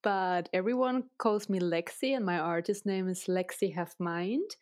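A woman's voice: on the low side, moderate pace, darkish tone, no echo, studio quality. A woman with a Russian accent, a Russian native speaker, talking as a tattoo artist guest in a casual interview, hesitant and filling her speech with "like".

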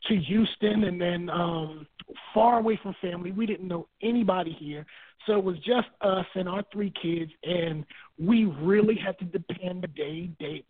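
A man from Barbados, a guest and author, telling his life story in a relaxed way, repeating words as he thinks and giving homely examples. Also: high voice. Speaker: guest, author and marriage minister. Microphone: phone. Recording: tinny phone line.

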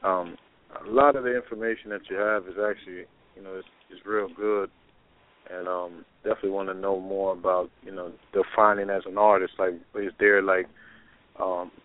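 Deep, flat-toned man on the phone. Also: normal speed. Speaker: poet and showcase promoter. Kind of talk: casual panel discussion. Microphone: telephone. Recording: tinny phone-line call-in audio.

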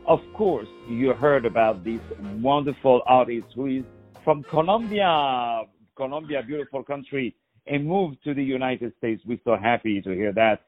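A man's voice: medium pitch, moderate pace, narrow, tinny audio, soft background music. A man hosting a radio interview, effusive and full of praise, often repeating his words as he talks. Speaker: radio host.

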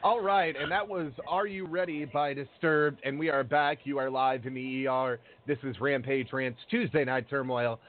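A man's voice: medium pitch, fairly even intonation, normal speed, hardly any pauses. A man hosting a wrestling talk radio show, opening segments and chatting casually. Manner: upbeat announcer opening. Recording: tinny phone-like audio.

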